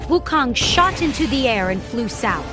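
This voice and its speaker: Woman narrating over clear background music. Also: a little high, sing-song, moderate pace. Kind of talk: scripted children's story narration.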